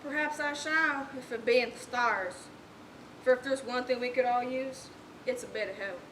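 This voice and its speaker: A female performer playing a lofty, theatrical character in a stage play, speaking in ornate, old-fashioned, deliberate phrases. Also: fairly high pitch, fairly bright tone, lightly acted. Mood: grand, musing. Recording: noisy, echoey stage performance recording.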